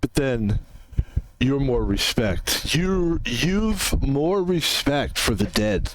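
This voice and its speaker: Casting: streamer reading as a courteous mortal hero addressing a goddess, plain read, stumbles over words, mid-pitched voice. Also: male voice, very animated, dry recording, poor audio. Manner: reading game dialogue aloud, plain and halting. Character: seasoned male mortal hero speaking to a goddess.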